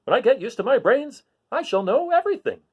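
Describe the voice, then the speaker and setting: A man's voice: very high voice, very animated, brisk, slightly rough, phone-quality sound, voice pushed up, full character voice. A man voicing a cheerful, proud scarecrow in a storybook reading, eager and earnest.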